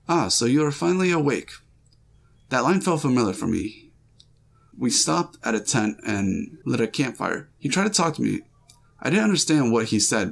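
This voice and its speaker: A man's voice: medium pitch, vocal fry. A man reading a listener's dream aloud as a first-person story, with small slips and restarts.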